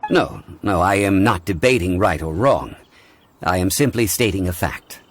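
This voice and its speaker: Deep-voiced, dignified scholarly gentleman. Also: expressive, slight background noise. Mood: calmly reasoning. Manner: measured, formal, scholarly. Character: calm, learned, dignified man, a history consultant. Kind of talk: scripted video game dialogue. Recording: music under the voice.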